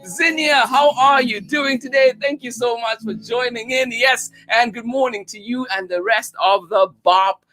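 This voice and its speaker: A man's voice: very high voice, unhurried, hardly any pauses, low-quality recording. A man hosting a live storytime stream, greeting viewers by name and thanking them over and over. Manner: warm effusive greetings, repeats thanks. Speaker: storytime host.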